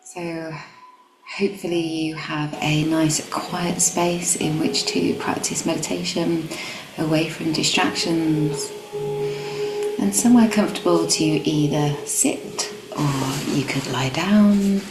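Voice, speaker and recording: A woman guiding a meditation in a gentle, calm, instructional manner. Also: sits low, slow pace. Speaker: meditation guide. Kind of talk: guided meditation. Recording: roomy echo, music behind. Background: background music.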